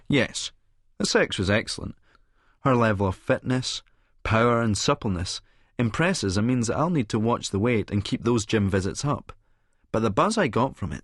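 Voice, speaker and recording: An actor with a Scottish accent narrating an audiobook as a cynical, vain Edinburgh publican, smug, sardonic and wordy. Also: male voice, on the low side, no room sound, studio quality. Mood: sardonic, self-satisfied. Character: cynical, vain male Edinburgh publican and schemer, smugly self-satisfied. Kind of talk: first-person audiobook narration in character.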